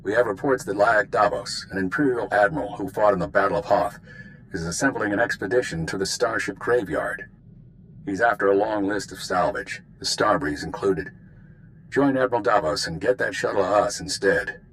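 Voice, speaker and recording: A stern spymaster hands out orders in a fairly low voice. He is curt, secretive and matter-of-fact, as in a dramatic game cutscene, with faint noise and ambience behind him. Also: normal speed, a bit bright, low-quality recording. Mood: guarded. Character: curt, secretive Republic intelligence spymaster man giving orders. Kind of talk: dramatic video game voice acting.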